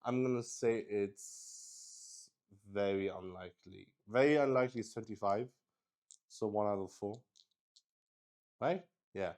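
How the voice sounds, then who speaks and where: Guy playing a tabletop rpg by himself, slow talker, clear voice, muttering through his turns, swears casually. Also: lowish voice, darkish tone. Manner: thinks aloud, self-mocking, swears casually. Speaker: solo player and game master. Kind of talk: casual solo tabletop roleplay commentary.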